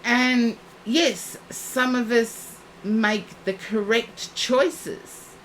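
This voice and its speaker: Brief: woman psychic live-streamer, slow and soothing, slightly high voice, going hoarse. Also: fairly bright tone, slight background noise, low-quality recording. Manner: soothing, reassuring, unhurried. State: losing her voice.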